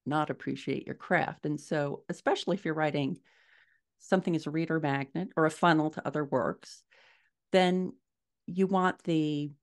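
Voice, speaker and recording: A middle-aged woman giving measured, explanatory answers as a guest author in a conversational interview over a video call. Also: sits low. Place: small furnished room at home, indoors.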